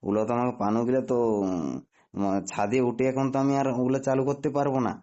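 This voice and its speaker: A dull, lo-fi phone recording of a man. He talks fast in a mellow voice, rambling on insistently as a complaining customer. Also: mid-range voice. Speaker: prank caller posing as phone customer. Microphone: phone call.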